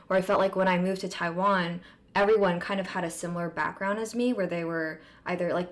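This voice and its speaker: An American woman from California hosting a podcast, chatting casually with quick asides and fillers. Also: on the low side. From United States (California). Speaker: podcast host. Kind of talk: casual conversation.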